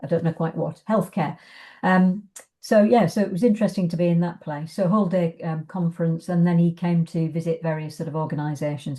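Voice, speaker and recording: Mellow, low-voiced Englishwoman chatting casually. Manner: hesitant, chatty, thinking aloud. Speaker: arts organisation guest. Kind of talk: casual interview chat.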